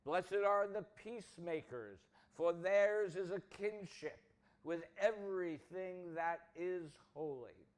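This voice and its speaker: Male minister for a church service: very slow, deliberate delivery, voice a bit higher than average. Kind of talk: sermon, reading aloud to a congregation.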